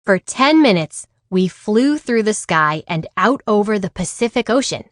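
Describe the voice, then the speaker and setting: A woman reading a children's picture book aloud in an expressive way, acting out the dialogue. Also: medium pitch, measured pace, no room sound, clean studio sound. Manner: animated, acts out dialogue. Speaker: read-aloud storyteller.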